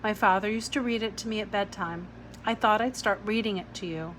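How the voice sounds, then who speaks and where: A woman's voice: mid-range voice, brisk, fairly bright tone, slight background noise, close to an everyday voice, poor audio. A woman reading a storybook part as a firm but caring female guardian, emphatic and reassuring. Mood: gentle insistence.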